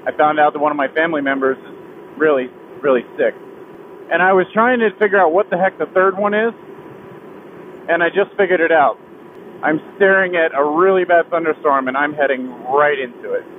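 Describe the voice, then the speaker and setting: A young man riding a motorcycle on a highway, talking through a helmet mic in a halting, heavy-hearted confession that keeps restarting. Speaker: motovlogger. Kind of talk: personal confession while riding. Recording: tinny helmet mic with wind and road noise.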